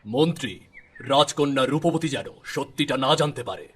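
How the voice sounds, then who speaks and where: A man voicing a grave, authoritative king and father in a storybook cartoon, with a solemn, slightly husky voice. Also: medium pitch, animated, moderate pace, fairly bright tone. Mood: confiding. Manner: commanding, solemn.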